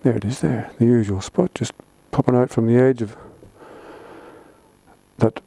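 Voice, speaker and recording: A man's voice: mid-range voice, fairly even intonation, measured pace, creaky, mellow, husky, dry recording. A man giving a casual how-to explanation for a vlog in an informal, plain-spoken way. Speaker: vlogger.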